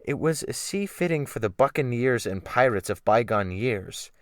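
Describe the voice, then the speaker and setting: A man's voice: mid-range voice, lively intonation, moderate pace, no room sound, clean studio sound, close to an everyday voice. A man reading a dramatic audiobook as a refined, bookish, self-pitying scholar, with fussy, emphatic literary phrasing. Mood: weary, brooding.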